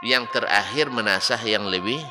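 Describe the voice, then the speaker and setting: A male Islamic preacher, with a lilt, giving a lecture and rising to loud, emphatic rebukes and mocking rhetorical questions. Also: fairly low pitch, moderate pace, music in the background. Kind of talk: religious study lecture. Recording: reverberant hall with faint background noise.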